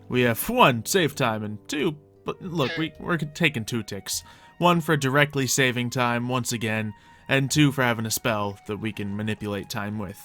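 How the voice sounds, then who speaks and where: A man's voice: mid-range voice, fairly bright tone. Man musing aloud over game music. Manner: musing aloud, talking to himself. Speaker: gaming YouTuber playing a roleplay character. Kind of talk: improvised in-character gameplay commentary.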